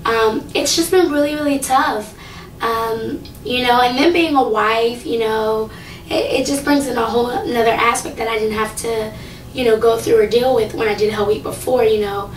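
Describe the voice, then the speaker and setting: A young woman talking casually to camera about a singing contest. She rambles with lots of fillers, and her voice is low and slightly raspy. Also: fairly even intonation, normal speed, distant mic, a little hiss or hum. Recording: echoey room, voice from off the mic.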